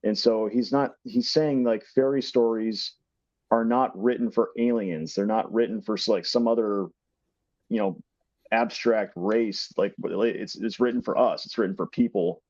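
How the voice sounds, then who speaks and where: A man's voice: fairly low pitch, fairly even intonation, unhurried, a little mellow, dry recording. A man co-hosting a podcast, explaining things casually in simple, repeated phrases. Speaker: podcast co-host. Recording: dull, band-limited dry microphone sound.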